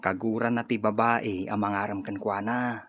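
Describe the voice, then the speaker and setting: Man acting a husky, gossipy office worker. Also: fairly low pitch, level tone, moderate pace, close to an everyday voice. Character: gossipy, judgmental male office employee. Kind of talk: Ilocano radio drama acting. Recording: dull lo-fi audio, faint music.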